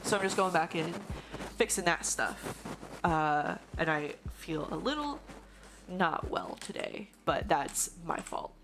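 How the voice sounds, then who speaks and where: A young woman streams her 3D modeling work, thinking aloud at a slow, unhurried pace and greeting viewers as they arrive. Her voice is gravelly and going hoarse, with pitch that rises and falls a lot. Faint music plays underneath. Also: expressive, background noise. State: losing her voice. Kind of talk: casual live-stream chat while 3D modeling.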